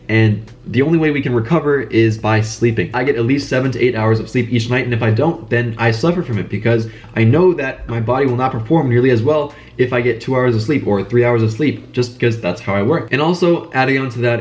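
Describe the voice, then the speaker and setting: A man's voice: medium pitch, darkish tone. A young man with a cold, sounding nasal, giving casual advice straight to the camera in an earnest, repetitive way. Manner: brisk, earnest, repeats phrases for emphasis. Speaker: channel host giving business advice.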